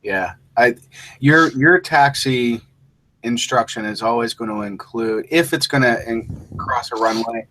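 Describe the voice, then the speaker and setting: A man's voice: mid-range voice, a little slow, bright, forceful tone, poor audio. A man speaking as a co-instructor in an informal lesson, explaining things in a conversational way. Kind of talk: informal live lesson discussion.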